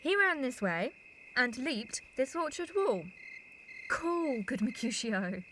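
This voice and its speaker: A woman playing earnest young companion, high voice, measured pace, noisy home phone recording, Shakespeare audio drama. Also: big pitch swings, bright, forceful tone, full character voice. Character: earnest young friend searching for Romeo.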